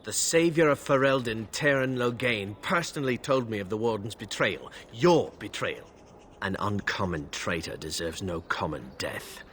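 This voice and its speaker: An actor playing a self-righteous knight in a fantasy game, formal, indignant and accusing. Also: male voice, fairly low pitch, lively intonation, normal speed, fairly bright tone, slight background noise. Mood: righteous indignation. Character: self-righteous male knight, accusing and challenging a supposed traitor. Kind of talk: fantasy video game acting.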